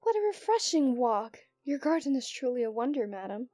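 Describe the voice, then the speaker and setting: A woman playing a confident, romantic young princess in a soft, intimate ASMR roleplay. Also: high-pitched, sing-song, fairly quick, no echo, studio quality, soft background music. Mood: poised, commanding. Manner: soft, intimate delivery that often drops into whispers.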